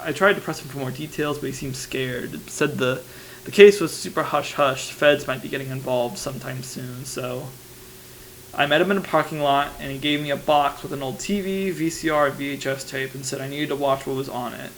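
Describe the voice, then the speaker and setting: A man acts out an anxious young male reporter confiding in a tape diary. He rambles and hesitates in an informal way, in a mid-pitched voice, over faint recorder noise and hum, as in an analog horror monologue. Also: fairly even intonation, fairly quick, close to an everyday voice, poor audio. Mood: unsettled.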